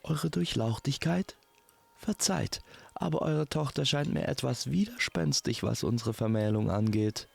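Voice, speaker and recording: A man voicing a slimy, flattering young prince with an affected, courtly manner in a storybook audio tale. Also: mid-range voice, a little slow, gravelly, no echo, voice pushed up, full character voice. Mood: wary, fawning.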